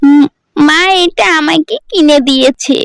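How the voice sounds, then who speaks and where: A woman plays a sweet, innocent poor girl in a cartoon fairy tale. The delivery is gentle and earnest, high-pitched and unhurried, recorded dry. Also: creaky, no echo. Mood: shy, earnest. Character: kind, poor, innocent young village girl Priya.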